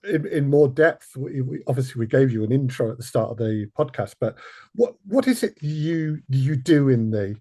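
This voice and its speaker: A man hosting a casual podcast interview, putting his questions in a hesitant, halting way. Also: medium pitch, expressive, dark tone, no echo.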